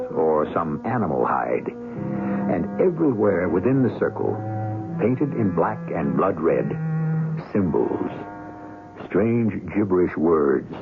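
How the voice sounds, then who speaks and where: A sinister radio storyteller with a very deep, creaking voice. He narrates theatrically and deliberately, swelling with dramatic inflection. Music plays behind him on a dull vintage broadcast. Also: full character voice. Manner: portentous, deliberate, savoring dramatic phrases. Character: sinister, theatrical male horror-show storyteller. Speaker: host and narrator. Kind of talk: 1970s radio mystery narration.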